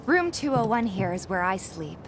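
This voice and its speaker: A woman with an American accent playing a kind, caring young barkeeper in a video game, gentle and a little hesitant. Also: medium pitch, big pitch swings, normal speed, slight background noise. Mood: friendly, shy. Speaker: game character actor.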